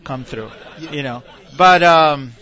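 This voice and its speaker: Bright-voiced man preaching informally. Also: fairly high pitch, dull audio, some chatter behind. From Argentina. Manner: animated, halting, repeats phrases. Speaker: visiting preacher. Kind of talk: informal religious teaching with audience questions.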